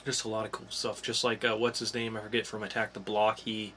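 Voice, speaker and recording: A man's voice: lowish voice, little pitch movement, a little fast, bright, forceful tone, a little hiss or hum. A man co-hosting a podcast, chatting casually and enthusiastically about entertainment news in an informal fan's way. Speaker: podcast co-host.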